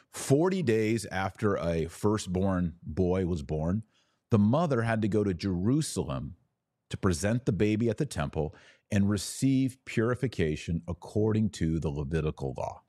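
A man speaks in a low voice that rises and falls in lively swings. He teaches like a devotional podcast host, phrasing deliberately and pausing for emphasis. The sound is close and dry. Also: no room sound. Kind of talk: devotional theology talk on a live podcast.

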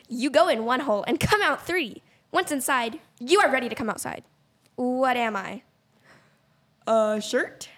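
A woman's voice: high voice, animated, measured pace, fairly bright tone, heavily acted. A woman playing a cheeky, riddle-loving comic book character in a youth audio play. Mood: playful.

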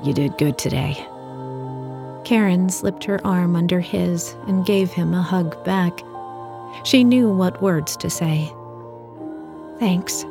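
Woman narrating a story, really unhurried, slightly low voice, bit of music behind. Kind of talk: scripted fiction narration.

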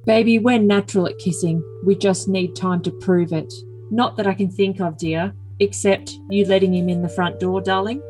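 A woman's voice: mid-range voice, steady tone, normal speed, darkish tone, faint music behind, close to an everyday voice, poor audio. A woman voicing a doting, controlling, self-important boyfriend in an overly dramatic reading of messages. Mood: devoted.

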